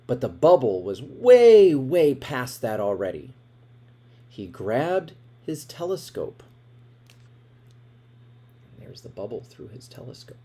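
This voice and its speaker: Guy reading a kids picture book out loud, unhurried n mellow, really playing up the drama. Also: mid-range voice, husky. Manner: expressive, dramatic emphasis with asides about the pictures. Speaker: storybook reader. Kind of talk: animated read-aloud of a children's picture book.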